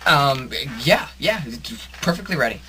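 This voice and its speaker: A man's voice: fairly high pitch, lively intonation, measured pace, very noisy. A man playing a hapless, polite everyman superhero in an amateur audio comedy drama, mild and given to stammering. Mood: flustered.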